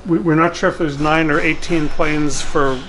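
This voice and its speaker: A middle-aged man giving an informal technical explanation in a halting, hesitant way. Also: fairly high pitch, fairly even intonation, fairly bright tone, rough recording. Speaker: guest expert. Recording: clear background room noise.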